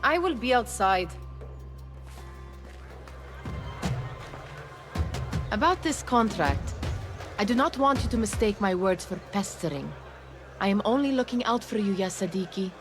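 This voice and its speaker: A woman's voice: medium pitch, expressive, normal speed, over music, lightly acted. An actress playing a sardonic young female thief in a video game, blunt and teasing but loyal. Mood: concerned. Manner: dry, teasing. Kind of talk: cinematic video game acting.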